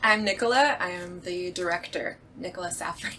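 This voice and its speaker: A woman speaks in a lowish, bright and forceful voice. She is reflective and explanatory in a casual conversation, with faint ambient noise behind her. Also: moderate pace. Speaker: radio play director and actress. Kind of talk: casual chat.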